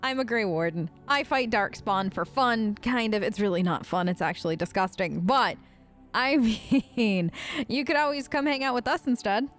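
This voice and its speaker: A woman's voice: on the high side, expressive, normal speed, soft background music. A woman hosting a gaming video, role-playing her side of the dialogue in a chatty, wry, informal way. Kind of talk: let's play role-play, casual in-character dialogue.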